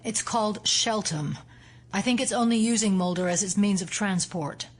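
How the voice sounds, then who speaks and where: An actress playing a resolute, analytical female FBI agent in an audio drama, explaining things seriously and deliberately. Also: medium pitch, lightly acted. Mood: grave, analytical.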